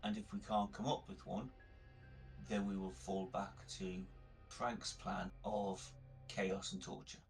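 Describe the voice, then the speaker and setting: A man playing a tabletop role-playing game, reasoning through plans in character in a deliberate way. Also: fairly low pitch, little pitch movement, recorded from a distance, faint music behind. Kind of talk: in-character planning talk.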